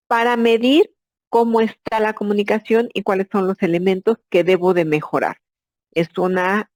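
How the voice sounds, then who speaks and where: A woman's voice: mid-range voice, darkish tone, no room sound. A woman, an architect, giving an explanatory masterclass lecture and asking herself rhetorical questions. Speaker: architect and instructor.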